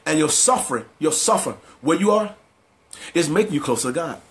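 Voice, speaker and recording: Male preacher, high-pitched voice, motivational sermon delivery built on emphatic repetition. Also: lively intonation, brisk, a bit bright.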